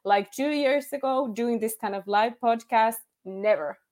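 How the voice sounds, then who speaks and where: A woman's voice: on the high side, unhurried, darkish tone. A woman in her thirties with a Finnish accent, a podcast guest who speaks openly, laughs often and leans on "like". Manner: laughs often, candid, says "like" a lot. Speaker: podcast guest, yoga teacher. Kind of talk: casual interview chat.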